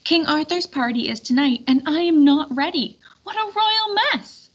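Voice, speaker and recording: A woman's voice: high-pitched, animated, moderate pace, highs cut off, voice pushed up. A woman voicing a harried, fretful medieval lady planning a feast in a storybook. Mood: flustered. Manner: exclaiming, fretful.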